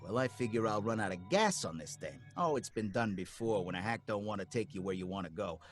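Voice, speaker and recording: A man's voice: fairly low pitch, animated, brisk, soft background music. An actor playing a nervous working-class cab driver who narrates his own story, rambling in folksy street talk. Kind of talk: radio drama acting.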